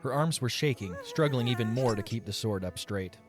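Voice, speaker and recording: A man's voice: medium pitch, lively intonation, fairly quick. A man reading scripted story narration for a fantasy audio drama. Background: soft music.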